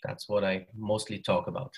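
A man's voice: fairly low pitch, level tone, moderate pace. A man in his forties with a German accent, a podcast guest talking through his answers with small restarts. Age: 40s. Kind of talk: casual interview chat. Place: hot room at home.

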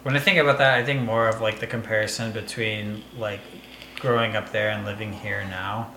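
Male host, easygoing and encouraging, halting phrasing; low pitch, bright tone, roomy echo. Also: steady tone, a little hiss or hum. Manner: easygoing, supportive, halting with fillers. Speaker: podcast host. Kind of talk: casual chat.

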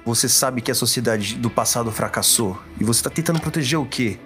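A man's voice: medium pitch, fairly quick, fairly bright tone. A man playing a tabletop RPG, improvising his character's words and narrating his actions. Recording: music clearly behind.

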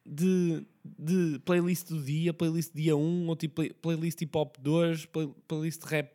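A hoarse young man with a Portuguese accent, hosting his podcast as a rambling, slang-heavy casual chat. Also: a little high, level tone, warm tone. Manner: slangy, rambling, quick talk with many fillers. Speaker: podcast host.

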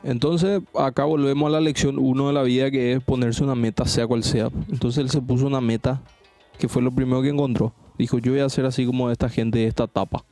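A man tells a rambling, slangy story on a podcast among friends. His voice is mellow and soft-edged, and he drives the point home by repeating himself. Faint music runs underneath. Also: medium pitch, steady tone, quick pace, dry recording. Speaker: podcast host. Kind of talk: casual chat among friends.

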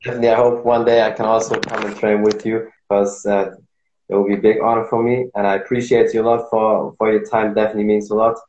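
A man's voice: fairly low pitch, little pitch movement, fast, darkish tone, muffled recording, slight background noise. A man hosting an interview podcast, encouraging his guest with short agreeing remarks. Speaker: podcast host. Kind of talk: casual interview chat. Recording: roomy echo.

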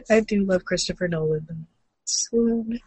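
A woman's voice: mid-range voice, unhurried, husky, dry recording, rough recording. A woman co-hosting in casual, unscripted chat with an irreverent, playful, sweary manner. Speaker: co-host of a gaming and comics news show.

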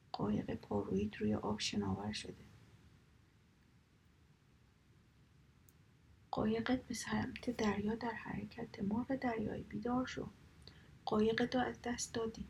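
A woman's voice: on the high side, animated, gravelly, rough recording. Woman whispering as a sly trickster raven. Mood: calling out. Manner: soft whispered telling.

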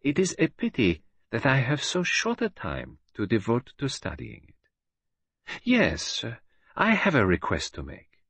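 A man voicing a calm, precise detective who speaks quietly and formally. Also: mid-range voice, unhurried, gravelly, husky, no echo, pitched above the performer's own voice, heavily acted.

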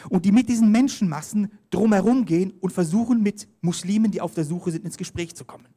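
A man's voice: sits high, fast, mellow. A man preaching a sermon, telling mission stories, reading scripture aloud and calling for the next slide. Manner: storytelling, reads scripture aloud, directs slides.

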